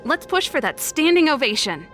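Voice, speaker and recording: A woman's voice: high-pitched, sing-song, normal speed, a bit bright. A woman playing a confident, theatrical rebel performer in a video game, assured and eager for battle. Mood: determined, showy. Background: game music.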